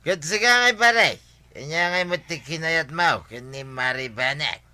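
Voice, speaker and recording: An actor with an Ilocano accent playing a chummy, teasing drinking buddy in a radio comedy drama. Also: male voice, fairly high pitch, expressive, unhurried, bright, forceful tone, heavily acted.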